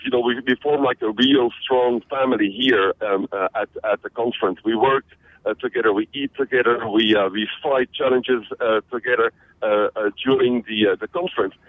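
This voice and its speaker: Belgian-born man on a tinny line. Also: medium pitch. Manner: energetic, repeats words when starting. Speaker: interview guest, conference organizer. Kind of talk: radio interview. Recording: tinny phone-like radio line.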